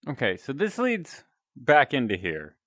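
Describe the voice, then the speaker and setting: Deep-voiced male streamer, slow and dry. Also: no room sound. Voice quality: deep announcer-like voice. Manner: relaxed, rambling, chatting to viewers. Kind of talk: casual chat while playing a game.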